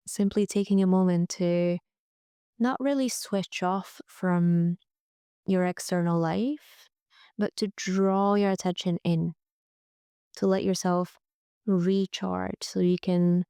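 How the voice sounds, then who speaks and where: Slow, creaky-voiced woman guiding relaxation. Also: mid-range voice, no room sound, studio quality. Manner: gentle, soothing, pausing often. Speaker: yoga nidra guide. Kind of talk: guided relaxation meditation, soft and unhurried.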